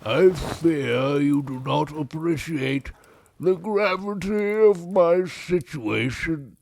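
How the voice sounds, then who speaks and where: Grumpy, wheezy, melodramatic storybook bear. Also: male voice, fairly high pitch, darkish tone, dry recording, voice pushed up, exaggerated voice. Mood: self-pitying. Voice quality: raspy, wheezy, growling. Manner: melodramatic, pompous, drawn-out complaining. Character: grumpy, self-pitying big brown bear with a cold. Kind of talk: children's storybook read-aloud.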